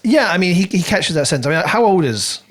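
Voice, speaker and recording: A man's voice: high-pitched, big pitch swings, brisk. A man co-hosting a podcast, talking casually and in a rambling way as he thinks aloud about a book. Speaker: podcast co-host. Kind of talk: casual book review discussion.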